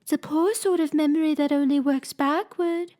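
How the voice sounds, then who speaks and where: A woman's voice: sits high, fairly even intonation, mellow, voice pushed up, natural voice. A woman voicing a scatterbrained, fussy queen who flies into shrieking wails. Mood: airily knowing. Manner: fussy, shrieking, quick to wail.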